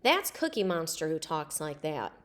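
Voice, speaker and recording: A woman's voice: low-pitched, sing-song, normal speed, a bit bright, voice pushed down. Woman as a haughty, scornful schoolgirl.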